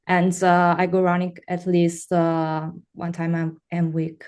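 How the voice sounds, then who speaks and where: A woman's voice: lowish voice, monotone, a little mellow. A woman from Italy, introducing herself with many hesitations. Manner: slow, hesitant. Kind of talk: self-introduction on a video call.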